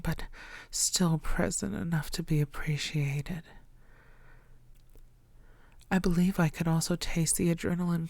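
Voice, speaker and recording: A woman playing a possessive, menacing female vampire in an intimate ASMR roleplay, teasing and often slipping into breathy half-whispers. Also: on the low side, a little mellow, dry recording. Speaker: audio roleplay voice actor.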